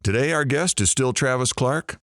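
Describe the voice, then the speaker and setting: A man's voice: mid-range voice, moderate pace, fairly bright tone, no echo, studio quality, close to an everyday voice. A man playing a wisecracking radio DJ in an audio drama, joking and sarcastic. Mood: snarky. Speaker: radio talk show host.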